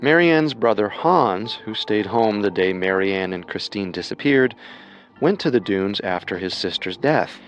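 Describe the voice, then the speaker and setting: A man co-hosting a scripted true-crime show, narrating in a measured storytelling manner. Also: on the low side, expressive, soft background music, rough recording. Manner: measured storytelling with rhetorical questions. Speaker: co-host narrator.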